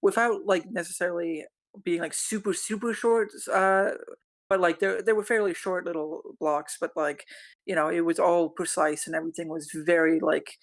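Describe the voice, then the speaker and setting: Higher-pitched man, rambling enthusiastically. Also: steady tone, normal speed. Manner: enthusiastic, rambling, filler-heavy with "like". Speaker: workshop participant. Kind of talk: casual group discussion.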